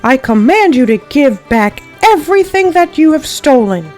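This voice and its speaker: A brave young prince from a children's storybook, acted by a woman, speaks in a raised, ringing register. He is imperious and declamatory, with very lively intonation. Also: sits high, normal speed, faint music behind, voice pushed up. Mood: indignant, commanding.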